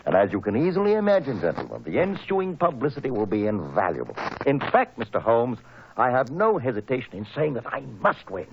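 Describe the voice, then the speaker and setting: An actor playing a proud, wealthy motor car inventor in an old radio drama, emphatic and earnest, with a husky voice. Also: male voice, medium pitch, wildly swooping pitch, a little fast, slight background noise, rough recording. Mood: proud, enthusiastic.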